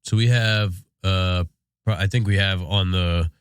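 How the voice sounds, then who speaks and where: A man's voice: deep voice, steady tone, unhurried, smooth, dry recording, studio quality. An American man co-hosting a podcast in casual, laid-back shop talk, sounding congested from a sinus infection. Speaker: podcast co-host.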